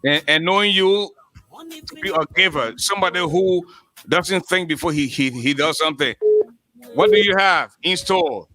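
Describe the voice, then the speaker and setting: A man's voice: fairly high pitch, moderate pace, pushed and bright, poor audio. A man in his fifties hosting a live call-in radio show, chatty and repeating himself with frequent restarts. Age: 50s.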